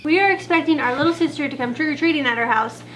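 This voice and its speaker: A woman's voice: high-pitched, quick pace, loud music behind the voice. A young woman co-hosting a casual fishing vlog, giggly and playful, talking to camera outdoors by a lake and then at home. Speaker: fishing vlogger, co-host. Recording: noisy camera audio.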